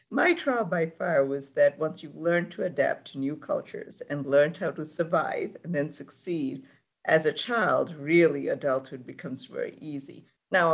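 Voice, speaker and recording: A speaker from Sri Lanka, talking on a conference panel. The voice has a gravelly edge and a middling pitch. The talk is reflective and candid, with dry touches of humor. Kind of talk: panel discussion answer.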